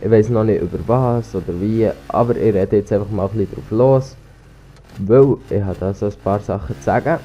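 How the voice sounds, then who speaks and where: A teenage Swiss German boy with a slight cold rambles hesitantly over his gameplay. His voice sits low and mellow. It comes through a cheap headset mic with a faint hiss underneath. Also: brisk, highs cut off. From Switzerland. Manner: rambling, hesitant, full of restarts and ums. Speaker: gaming YouTuber. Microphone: cheap gaming headset.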